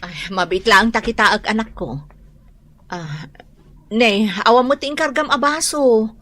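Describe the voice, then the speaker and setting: A woman's voice: a little high, unhurried, pushed and bright. A woman with an Ilocano accent playing a practical, nagging wife and mother who lectures her drinking husband in a radio comedy drama. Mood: worried.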